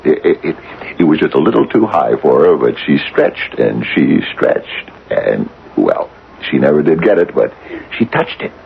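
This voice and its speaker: An older widower in a radio drama, with a very deep, creaky voice. He is nervous and a bit eccentric, stammering and doubling back on his words. The old radio recording is dull and noisy. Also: very animated, normal speed, heavily acted. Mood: eager. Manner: hesitant, stammering, repeating himself. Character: hesitant, nervous retired widower near sixty, mildly eccentric.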